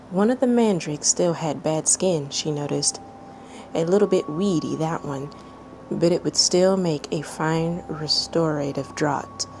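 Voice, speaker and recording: A woman narrates a story with dramatic, read-aloud phrasing. Her voice sits low, with a heavy creak of vocal fry, and she takes her time over the words. The recording carries clear background noise. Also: darkish tone, rough recording. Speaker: fan fiction reader and channel host.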